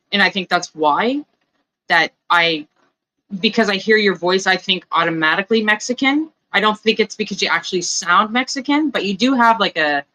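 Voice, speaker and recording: A woman's voice: mid-range voice, bright, forceful tone, low-quality recording. A woman chatting casually in an online voice chat, rambling and leaning heavily on fillers. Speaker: voice chat participant.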